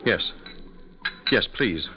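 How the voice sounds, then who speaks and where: An actor playing a polite, emotional gentleman with an earnest, formal way of speaking in a 1940s radio drama. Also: extremely slow. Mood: shaken. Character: polite, emotional gentleman passenger recounting a tragedy. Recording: dull vintage radio recording, crowd bustle.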